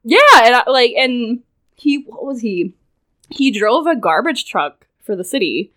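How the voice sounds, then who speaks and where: A woman's voice: on the high side, lively intonation, measured pace, a bit bright. A woman guest on a casual podcast, telling a personal story in a loose, chatty way, with lots of "like" and frequent laughter. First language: English. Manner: chatty, full of "like", laughs often.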